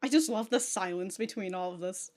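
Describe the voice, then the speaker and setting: A woman's voice: medium pitch, a little fast, darkish tone. A woman hosting a reaction video, commenting casually in slangy, informal language with frequent interjections.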